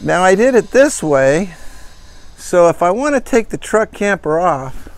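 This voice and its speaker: A man with a slightly higher voice talks slowly through a homemade truck walkthrough. His phrasing is plain and homespun, full of fillers and restarts, in a relaxed show-and-tell manner. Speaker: vlogger showing his truck camper. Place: in and around a pickup truck.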